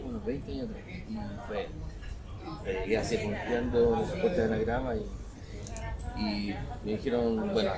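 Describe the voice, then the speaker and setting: A middle-aged man with a Chilean accent telling stories as a guest in casual conversation, haltingly, circling back over phrases. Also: mid-range voice, big pitch swings, gravelly, a loud crowd.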